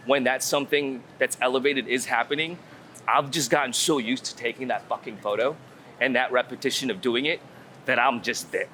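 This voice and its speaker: Male interviewee, bright voice, informal rambling explainer, outdoor city street with faint traffic noise. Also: mid-range voice. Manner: rambling, informal, thinking aloud with repeated phrases. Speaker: guest street photographer. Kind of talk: casual conversational explanation while out shooting.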